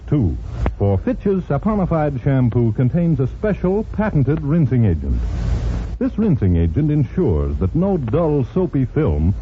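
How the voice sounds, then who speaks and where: A man with an American accent, a 1940s radio announcer reading sponsor ads with polished, emphatic salesmanship. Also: a little high. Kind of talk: 1940s scripted radio advertising. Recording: muffled, faintly noisy 1940s radio.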